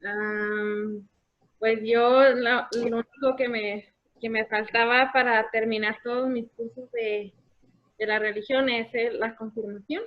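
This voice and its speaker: A woman's voice: fairly high pitch, steady tone, slow, muffled recording. A woman answering informally as a class participant, hesitant and repeating her words.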